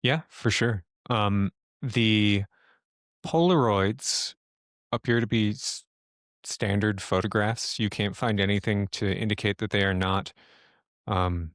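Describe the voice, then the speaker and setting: A man's voice: on the low side, dry recording. Casual man running a tabletop game, dry sound. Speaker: game master.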